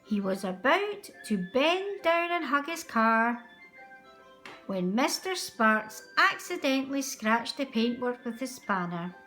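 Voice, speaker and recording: Slow, animated woman reading a children's story. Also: a little high, soft background music, rough recording. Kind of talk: expressive children's read-aloud narration.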